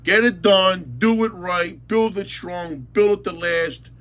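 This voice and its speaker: A man's voice: sits high, slow pace, fairly bright tone. A man ranting angrily about local matters, blunt and insulting, repeating words for emphasis. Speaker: local commentator. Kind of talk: angry ranting monologue.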